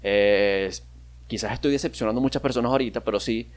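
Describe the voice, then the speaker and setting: A man's voice: fairly low pitch, fast talker. A young man from Maracaibo with a Venezuelan maracucho accent, hosting a casual solo podcast, rambling and joking at himself. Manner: rambling, self-mocking, with frequent fillers and laughs. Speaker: podcast host.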